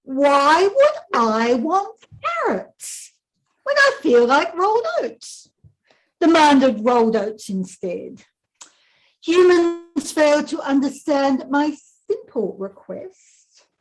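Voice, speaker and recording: A lazy, demanding storybook wombat voiced by an older woman, high in pitch and very slow. Each short diary entry is set down with deliberate, deadpan self-importance. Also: heavily acted, poor audio. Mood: demanding, smug. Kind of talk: picture book read-aloud.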